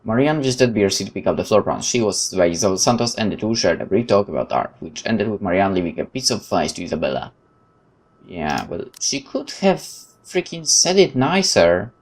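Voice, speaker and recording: Man, let's play narrator, lowish voice, heavy creak, very varied intonation, expressive reading. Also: fairly quick. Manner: expressive, reads game text aloud with interjections. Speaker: gaming video host.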